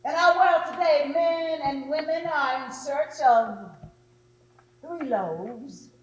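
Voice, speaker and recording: A woman's voice: sits high, slow pace, highs cut off, slight background noise. A woman delivering an oratorical sermon as a preacher, with emphatic rhetorical repetition. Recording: echoey hall.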